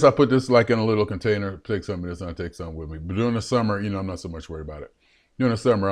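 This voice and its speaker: Chatty fifty-something man rambling to webcam. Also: sits low. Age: 50s. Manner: chatty and animated, laughing often, repeating phrases. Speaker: vlogger. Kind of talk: rambling solo vlog to a webcam. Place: his room at home.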